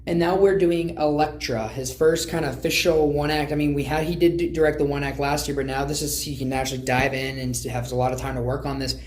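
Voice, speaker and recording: A man's voice: a little high, level tone, quick pace, hardly any pauses, a little mellow. A man hosting a podcast, interviewing guests in a conversational way. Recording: roomy echo, background noise.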